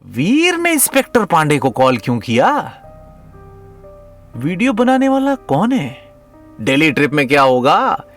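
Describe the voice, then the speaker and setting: A man's voice: fairly high pitch, huge pitch swings, a little slow, pauses often, fairly bright tone, faint music behind. A man narrating a comedy story as a storyteller, in a dramatic scripted style.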